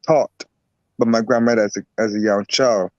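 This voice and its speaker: A man from Barbados, a guest herbalist and boxer, talking in a relaxed, rambling way with lots of repeats. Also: on the low side, normal speed, no room sound, dull audio. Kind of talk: casual interview talk.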